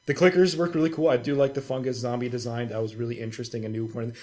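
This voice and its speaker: A man's voice: medium pitch, steady tone, fast, darkish tone. A young man co-hosting a casual gaming podcast. He is blunt and full of opinions, swearing freely as he talks in a mid-range voice. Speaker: podcast co-host.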